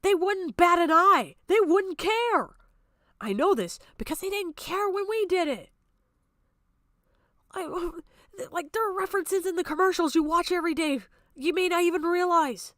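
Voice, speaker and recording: A woman's voice: very high voice, animated, normal speed, fairly bright tone, no echo, studio quality. A woman giving off-the-cuff, argumentative stream commentary in a blunt, exasperated, sweary manner. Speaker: streamer reacting to a video.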